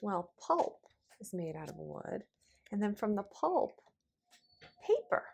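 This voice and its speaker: A woman's voice: medium pitch, sing-song, slow, vocal fry, a little mellow, husky. A middle-aged woman, a preschool teacher, speaking in simple phrases and questions as in a children's lesson. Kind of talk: children's lesson and read-aloud.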